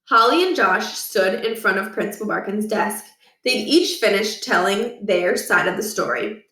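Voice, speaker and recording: A woman reading a children's book aloud as an expressive storyteller. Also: medium pitch, normal speed. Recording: echoey room, distant from mic.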